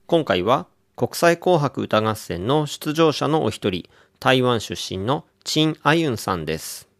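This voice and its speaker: A man's voice: mid-range voice, sing-song, moderate pace, fairly bright tone, dry recording, studio quality. A man hosting a podcast, introducing a guest and asking her short, simple questions. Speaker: podcast host. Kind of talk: interviewing a guest.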